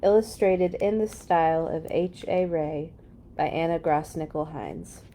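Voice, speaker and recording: A woman reading a children's story aloud in an expressive, playful way for young listeners. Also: low-pitched, a little slow, noisy background. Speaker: children's read-along storyteller. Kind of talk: storybook read-aloud with animated asides.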